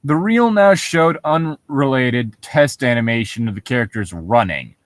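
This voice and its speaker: A man's voice: mid-range voice, animated, a little slow, no echo, studio quality. A man co-hosting a show, reading stories aloud and breaking off for wry, nitpicking comments in a casual way. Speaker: podcast co-host reading and mocking internet horror stories.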